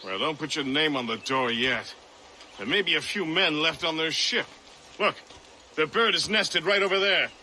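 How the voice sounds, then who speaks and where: A man's voice: on the high side, normal speed, sharp tone, slight background noise. An actor playing a jaunty, wry male adventurer from colonial times, friendly and talkative, in a video game drama. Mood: jovial.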